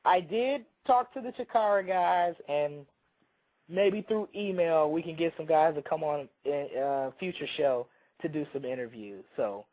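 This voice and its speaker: A man co-hosting a radio talk show, telling a long, rambling story while hoarse from a cold. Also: a little high, measured pace, phone-quality sound. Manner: rambling, self-interrupting storyteller. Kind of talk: casual chat, storytelling.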